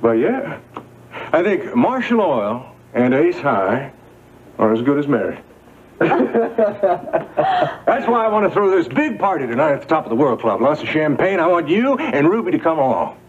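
A man's voice: on the high side, sing-song, normal speed, slight background noise. An actor playing a jovial, reassuring oil businessman in a soap opera, with a hearty, persuasive manner. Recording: muffled 1980s TV tape, music behind.

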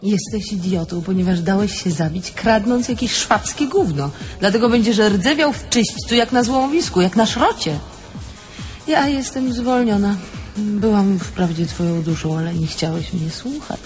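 An actress playing the mocking, blunt and crude-tongued soul of a dead young thief in a theatrical tragifarce. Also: female voice, mid-range voice, lively intonation, normal speed, a little mellow, soft background music, lightly acted. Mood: scolding.

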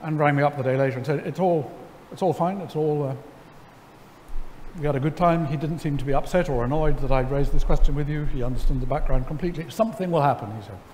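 A middle-aged man, seated on stage, telling a story to an audience in a wry, animated way, full of ums and restarts. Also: fairly high pitch, fast talker, a little hiss or hum. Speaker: lecture guest. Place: large church sanctuary before an audience. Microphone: headset mic. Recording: hall reverb, faint ambience.

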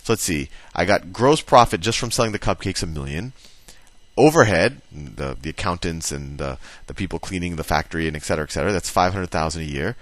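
A man's voice: deep voice, expressive, fairly quick, a bit bright, no echo. A man giving a casual explanatory lesson, talking it through conversationally and thinking aloud as he writes. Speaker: teacher.

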